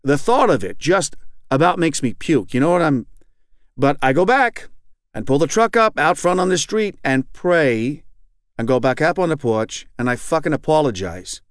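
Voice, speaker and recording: A man voices a bitter, foul-mouthed man in recovery who keeps circling back on his own story. The voice sits fairly high and leaps around in pitch. It is recorded close and dry, with no room sound. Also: lively intonation, normal speed, dry recording, close to an everyday voice. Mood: bitter, heated. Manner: rambling, profane, keeps asking "you know what I'm saying". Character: angry, profane recovering man, middle-aged. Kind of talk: reading aloud a fictional monologue.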